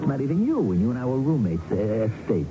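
An actor playing a smooth-talking, cynical college pal turned smuggler in a 1970s radio drama, offhand, needling and persuasive. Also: male voice, medium pitch, sing-song, fast talker, lightly acted. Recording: muffled 1970s radio broadcast, music bed.

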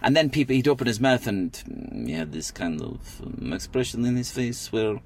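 An Irish comedian and impressionist in casual chat, a rambling storyteller who is deadpan about grim things. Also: male voice, medium pitch, moderate pace.

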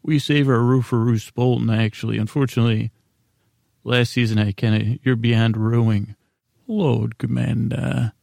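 A man hosting a sleep podcast in a casual, meandering monologue, halting and mumbling in a self-deprecating way. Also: mid-range voice, little pitch movement, darkish tone, no echo, clean studio sound. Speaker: podcast host.

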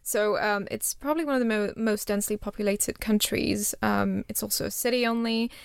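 A woman's voice: mid-range voice, steady tone, a little fast, gravelly, clean tone, dry recording, studio quality. A young woman from Singapore, chatting as an interview guest with lots of fillers and restarts. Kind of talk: casual interview chat.

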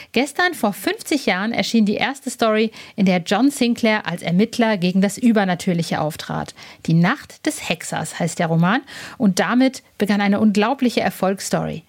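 Chatty, upbeat woman podcast host. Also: medium pitch. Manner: chatty, enthusiastic, fillers. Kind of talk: casual podcast chat. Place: rooftop terrace outdoors, construction noise nearby.

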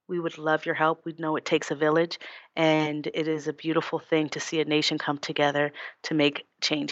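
Low-voiced American woman, roomy sound. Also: fairly bright tone, highs cut off. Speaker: political candidate being interviewed. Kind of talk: conversational political interview.

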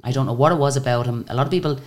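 Irish female singer, guest; very deep, low voice; animated, chatty storyteller. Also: rapid-fire. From Ireland. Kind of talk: casual interview storytelling.

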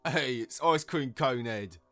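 A man voicing hostile townspeople in a story, shouting taunts as hecklers. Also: medium pitch, animated, unhurried. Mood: jeering. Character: hostile, jeering townspeople yelling from a crowd.

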